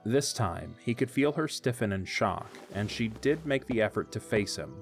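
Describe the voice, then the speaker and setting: Low-voiced male storyteller over soft music. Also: expressive, normal speed. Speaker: narrator. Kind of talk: scripted audio drama narration.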